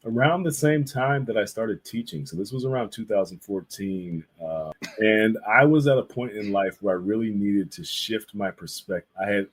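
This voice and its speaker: Low-voiced man in casual conversation, slightly husky. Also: moderate pace, few pauses. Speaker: guest, visual artist and illustrator. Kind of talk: casual interview chat.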